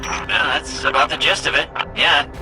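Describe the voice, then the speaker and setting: An actor playing a nervous, eager-to-please defector robot in a game cutscene, chatty, evasive and stammering. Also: male voice, a little high, steady tone, normal speed, lightly acted, low-quality recording. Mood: earnest, pleading. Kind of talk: video game cutscene acting. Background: loud background music.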